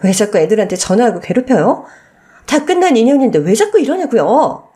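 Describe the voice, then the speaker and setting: A woman's voice: on the high side, big pitch swings, brisk, mellow. A woman voicing a wronged middle-aged daughter-in-law in a radio story, bitter, defiant and full of indignant questions. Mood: exasperated.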